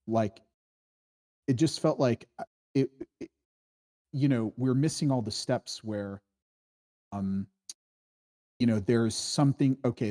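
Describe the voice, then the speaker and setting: Low, mellow man talking casually. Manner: rambling, encouraging. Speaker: writing group member giving script feedback. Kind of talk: casual spoken critique.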